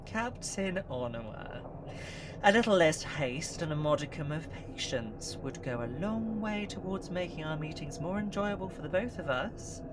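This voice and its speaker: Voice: mid-range voice, lively intonation, a little slow, noisy background, close to an everyday voice. An actor playing a menacing zealot villain in an audio drama, speaking in a flowery, formal, condescending way. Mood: mock-courteous.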